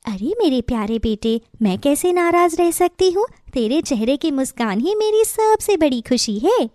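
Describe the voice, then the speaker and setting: A loving mother bird from a children's cartoon, played by a woman. Her voice is very high and swings expressively. She speaks with earnest affection in a close, dry sound. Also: sing-song, normal speed, a little mellow, no room sound. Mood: tender. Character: loving, gentle mother bird, warm and welcoming. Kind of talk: Hindi children's story cartoon dialogue.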